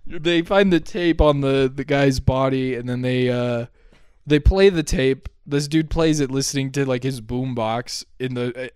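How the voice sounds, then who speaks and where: A man's voice: medium pitch, measured pace, smooth, no room sound, studio quality. Young man riffing on a bad movie. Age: young adult. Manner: laughing, animated, many fillers. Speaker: movie review co-host. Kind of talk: casual chat. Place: living room, on a couch. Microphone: handheld mic.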